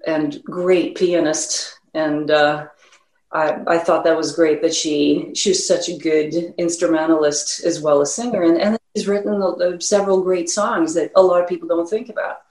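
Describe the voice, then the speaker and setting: Musician guest: a Swedish-accented woman with a lower voice, chatty and loose, recorded in a roomy space. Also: steady tone, moderate pace, darkish tone. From Sweden. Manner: rambling, many fillers and restarts. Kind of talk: casual interview talk.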